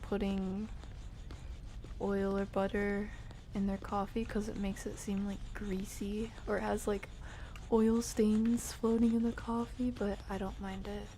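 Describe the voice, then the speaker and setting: Young female game streamer. Relaxed, slow delivery, soft breathy dips, playful self-directed rambling, casual live chat. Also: medium pitch, a little hiss or hum. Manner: playful, rambling, murmuring to herself. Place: her room.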